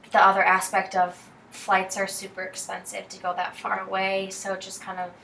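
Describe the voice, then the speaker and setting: A young woman speaks softly and hesitantly, with a bright edge to her voice. She sits away from the mic in an echoey room, with faint hiss behind her. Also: mid-range voice, gravelly, distant mic. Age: young adult. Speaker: student athlete. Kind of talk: casual conversation.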